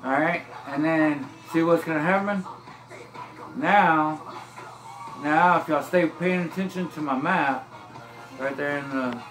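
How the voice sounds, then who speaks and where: Man, easygoing rambling gameplay guide, slightly high voice, filmed by phone with game music behind. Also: rough recording. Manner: relaxed, rambling, drawled, says y'all. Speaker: gameplay tips narrator. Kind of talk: casual gameplay walkthrough. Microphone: phone filming a TV screen.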